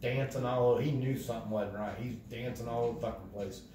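Casual male storyteller, mid-pitched, foul-mouthed, captured from across a reverberant living room. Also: fast talker, background noise. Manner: profane, animated retelling with acted-out quotes. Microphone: phone across the room. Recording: roomy, distant phone recording, background chatter.

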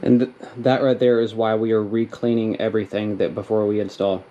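A man's voice: on the low side, level tone, brisk, dark tone, dull audio, slight background noise. Grumbly man explaining engine work. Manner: rambling, grumbly, filler-heavy explaining. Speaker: DIY mechanic vlogger. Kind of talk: casual hands-on tutorial. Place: small wooden workshop shed. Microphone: camera mic while working.

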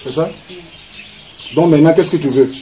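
A man's voice: a little high, moderate pace, dark tone. A man, a pastor giving commanding instructions and prayers during a deliverance. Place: open-sided yard outdoors. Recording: noisy phone video with outdoor bird and ambient sounds.

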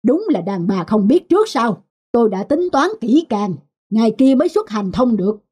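Woman as a husky, fussy superstitious householder. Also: on the high side, very animated, moderate pace, darkish tone. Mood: stubborn. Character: superstitious, stubborn middle-aged male householder. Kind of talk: cartoon folk-tale voice acting. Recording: music behind the voice.

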